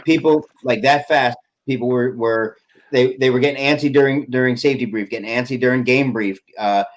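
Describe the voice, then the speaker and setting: Halting, rambling man in his forties. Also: mid-range voice. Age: 40s. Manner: rambling, halting with many fillers. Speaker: airsoft field owner. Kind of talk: casual podcast chat.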